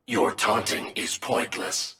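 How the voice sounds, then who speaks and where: A man's voice: deep voice, slow, pushed and bright, reverberant, full character voice. A man voicing a menacing, coldly obedient combat robot in a video game, speaking in stiff, formal phrases. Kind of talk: dramatic video game voice acting.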